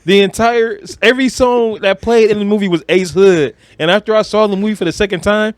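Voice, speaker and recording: High-voiced man chatting bluntly and slangily. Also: brisk, no room sound. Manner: blunt, animated, slangy, profane. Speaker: podcast co-host. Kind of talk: casual chat about films.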